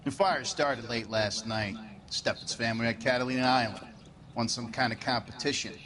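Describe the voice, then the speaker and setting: A man's voice: medium pitch, fairly even intonation, moderate pace, bright, forceful tone, lightly acted. A man playing a weary, matter-of-fact cop giving a briefing in a video game. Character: plain-talking male cop briefing at a fire scene. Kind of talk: cinematic video game acting. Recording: game audio with crowd murmur.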